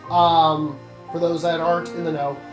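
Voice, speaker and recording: A man's voice: fairly high pitch, little pitch movement, moderate pace. Guy hosting a game watch-along, explaining stuff to viewers, echoey room, music in back. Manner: explanatory, addresses viewers directly. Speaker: host of a game cutscene playthrough. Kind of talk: casual commentary. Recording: roomy echo, music behind.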